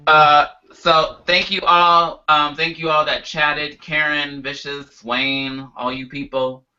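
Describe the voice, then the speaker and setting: Man, extremely bright forceful tone, mid pitch, playful show co-host, emphatic banter, lo-fi audio. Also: flat delivery, slow. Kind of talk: casual banter and show outro. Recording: dull lo-fi audio.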